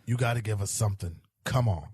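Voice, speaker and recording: A man playing a terse, mellow-toned hard-boiled cop. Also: fairly low pitch, fast talker, husky, no echo. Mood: firm, controlled. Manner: terse, guarded, deadpan. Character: world-weary, secretive hard-boiled male police detective. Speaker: police detective. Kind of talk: hard-boiled sci-fi audio drama acting.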